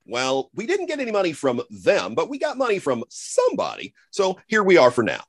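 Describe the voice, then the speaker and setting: A man's voice: fairly high pitch, huge pitch swings, fairly quick, slightly rough, no echo, clean studio sound. A man presenting a show's sponsor appeal in playful scripted banter.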